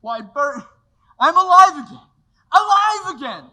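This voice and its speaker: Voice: medium pitch, expressive, moderate pace, sharp tone, poor audio. A performer playing a desperate man pleading to get his old life back, frantic one moment and overjoyed the next. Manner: frantic, pleading, swings from despair to joy.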